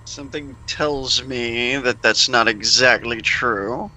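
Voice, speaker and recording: A man playing a tabletop game, talking casually in a halting, hesitant way. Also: medium pitch, pushed and bright, low-quality recording. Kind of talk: casual chat during a game.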